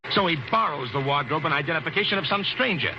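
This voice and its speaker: Nervy high-voiced windbag passenger. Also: male voice, expressive, quick pace, creaky. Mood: intent, theorizing. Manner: agitated, long-winded, stammering. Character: nervy, impatient, windbag male bus passenger. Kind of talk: 1940s radio thriller acting. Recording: muffled, noisy old radio broadcast.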